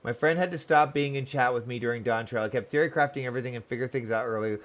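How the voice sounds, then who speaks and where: A man's voice: mid-range voice, quick pace, a bit bright. A man live-streaming a game, chatting casually and welcomingly with viewers while commenting on the story, often repeating words.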